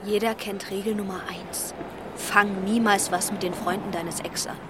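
A woman's voice: medium pitch, fairly even intonation, moderate pace, noisy background, lightly acted. An actress playing a sensible teenage girl, a reproving but friendly companion, in audio drama dialogue. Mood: exasperated.

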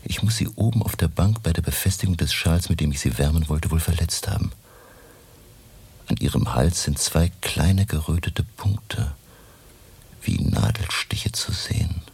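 An earnest older professor for a radio play. His voice is extremely deep and gravelly, with a husky grain. He talks deliberately, with old-fashioned courtesy. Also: a little mellow, full character voice. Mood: measured, absorbed. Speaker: actor in radio drama. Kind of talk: German radio play acting.

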